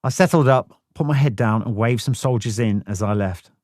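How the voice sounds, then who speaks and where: A man's voice: medium pitch, moderate pace, a little mellow, no echo, studio quality. A man narrating a first-person memoir audiobook in a blunt, plain-spoken way, swearing freely.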